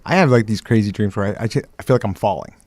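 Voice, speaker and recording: Fast-talking man co-hosting. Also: fairly low pitch, darkish tone, dry recording. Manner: quick, laughing, easygoing. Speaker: co-host. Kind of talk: casual chat.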